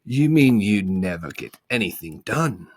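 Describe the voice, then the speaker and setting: A man reading a storybook aloud and voicing a bored, puzzled young boy in a husky, questioning voice. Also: on the low side, lively intonation, measured pace, a little mellow, dry recording.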